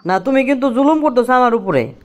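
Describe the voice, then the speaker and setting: Casting: henpecked young cartoon husband, played by a woman, high-set animated voice, Bangladeshi accent, incredulous complaining. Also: fast talker, a little hiss or hum, close to an everyday voice. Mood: dismayed. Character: exasperated, henpecked young husband pleading with wife. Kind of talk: comic cartoon dialogue in colloquial dialect.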